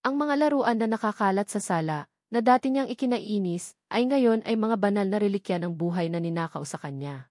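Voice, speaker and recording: Female Filipino narrator for dramatic romance fiction; mid-range voice, solemn measured delivery, very dry recording. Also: mid-range voice, animated, darkish tone, dry recording. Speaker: story narrator.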